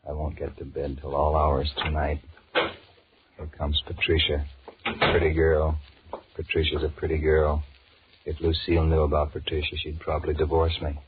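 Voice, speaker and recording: A man's voice: extremely low pitch, completely flat delivery, moderate pace. An actor in a 1940s radio drama playing a weary, restless married businessman who grumbles and wheedles as he flirts with his secretary. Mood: weary, wistful. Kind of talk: 1940s radio drama acting, inner monologue and dialogue. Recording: muffled, hissy 1940s radio recording.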